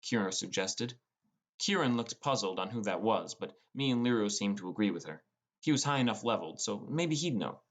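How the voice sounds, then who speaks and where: A man's voice: fairly low pitch, lively intonation, brisk. A man reading scripted first-person fantasy narration as an audiobook narrator. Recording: music bed under voice. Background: prominent plucked guitar music.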